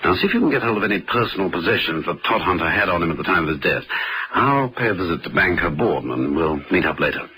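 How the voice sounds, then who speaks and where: An actor playing a suave, witty British gentleman agent, urbane and polite, in a vintage radio drama. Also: low voice, lively intonation, quick pace, low-quality recording. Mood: breezy, assured. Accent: British English. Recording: hissy vintage radio recording.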